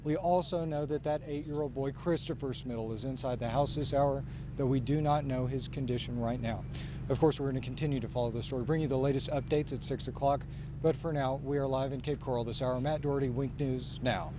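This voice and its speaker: A man's voice: medium pitch, steady tone, brisk. A man reporting live for TV news from outdoors on a street. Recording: faint outdoor street and vehicle noise.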